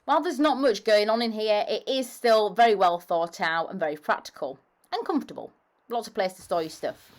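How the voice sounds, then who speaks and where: A woman's voice: medium pitch, fairly quick. A woman reading an upbeat, scripted voice-over for a car review. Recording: voice-over with faint music underneath.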